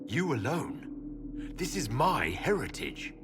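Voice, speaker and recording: An actor playing a cautious, protective elven leader in a fantasy game, questioning earnestly and firmly. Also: male voice, medium pitch, sing-song, slow, over music. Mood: challenging. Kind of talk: dramatic fantasy game dialogue. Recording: clear ambient noise behind voice.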